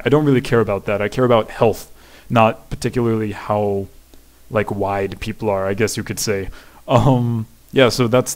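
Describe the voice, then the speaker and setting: Deep-voiced young man chatting casually. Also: a little mellow. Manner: chatty and rambling, fillers and restarts. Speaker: YouTuber answering viewer questions. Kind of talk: casual Q&A chat.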